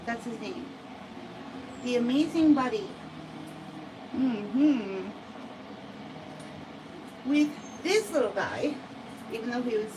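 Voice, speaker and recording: American woman, cat groomer, slow talker, higher voice, distant mic, loud background noise. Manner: chatty, slow, often adds "right?". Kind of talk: casual livestream grooming demo. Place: grooming salon, at the bath.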